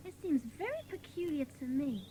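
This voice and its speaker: Excitable young schoolgirl, very high, animated voice, children's radio-play style, slight background noise. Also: moderate pace. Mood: curious. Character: chatty, excitable, soft-hearted young schoolgirl of the Secret Seven. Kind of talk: dramatised children's audiobook acting.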